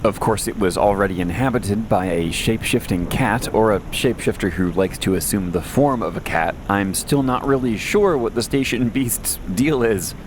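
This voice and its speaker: A man playing a wry, anxious post-apocalyptic radio host in an audio drama, rambling casually with deadpan, self-deprecating humor. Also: fairly low pitch, moderate pace, few pauses, background noise, natural voice. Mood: chatty. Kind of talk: conversational audio drama monologue, answering listener messages.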